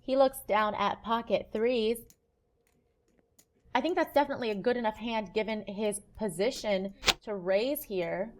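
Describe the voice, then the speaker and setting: A woman's voice: mid-range voice, measured pace, a little mellow. A woman co-hosting a poker broadcast, giving sports-style commentary and analysis.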